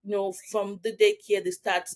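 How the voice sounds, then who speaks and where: Guest: Nigerian-accented woman in her thirties, chatty, mid-pitched, repeats herself and checks in. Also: little pitch movement, moderate pace. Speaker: interview guest. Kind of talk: casual conversation.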